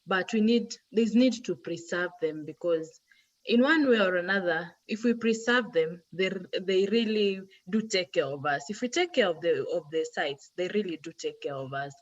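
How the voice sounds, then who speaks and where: A woman's voice: fairly low pitch, lively intonation, normal speed, muffled recording. A woman speaking hesitantly on an online panel, repeating words as she goes. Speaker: webinar panelist.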